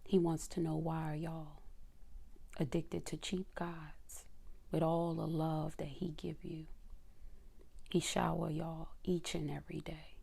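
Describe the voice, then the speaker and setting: A woman preaching a devotional sermon in a soft, intimate whisper, reading scripture and speaking to her listeners as children. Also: sits low, creaky, breathy edge. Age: adult mother.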